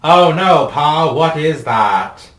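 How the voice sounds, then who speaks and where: A man voicing a naive, excitable young country fellow in a folksy, exclaiming way for a radio-style story. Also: mid-range voice, takes it slowly, distant mic. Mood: alarmed. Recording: echoey room, faint music.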